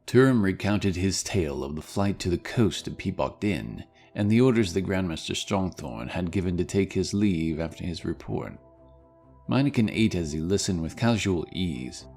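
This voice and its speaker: A man's voice: low-pitched, animated, moderate pace, a little mellow, slightly rough, faint music behind. A man giving dramatic scripted narration of a fantasy story.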